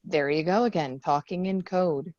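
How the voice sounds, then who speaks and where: A woman's voice: on the low side, normal speed, darkish tone, no room sound, highs cut off, lightly acted. A woman playing a guarded, stubborn, wounded young Marine veteran in a screenplay table read.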